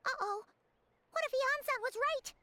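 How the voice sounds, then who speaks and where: A woman's voice: normal speed, heavy background noise. A woman voicing a tiny, chirpy and blunt fairy companion in a video game, speaking of herself in the third person. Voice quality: high, bright, squeaky. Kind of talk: game voice acting.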